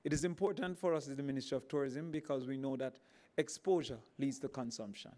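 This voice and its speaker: A man with a Jamaican accent, a government minister, giving a formal speech at a podium with emphatic, rising emphasis. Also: fast talker. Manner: animated, emphatic, climbing pitch on key points.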